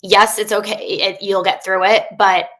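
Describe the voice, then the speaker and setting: A woman's voice: medium pitch, level tone, normal speed, a bit bright. A woman in her thirties, a coach talking as a podcast guest, speaking openly in a chatty way with many fillers and restarts. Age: 30s. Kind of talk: candid casual interview.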